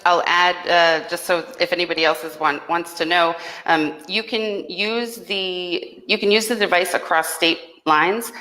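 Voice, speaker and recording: A woman's voice: fairly low pitch, bright, forceful tone. A woman co-hosting a casual live Q&A and explaining things in a matter-of-fact way, recorded with a long room echo. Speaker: co-host answering technical questions.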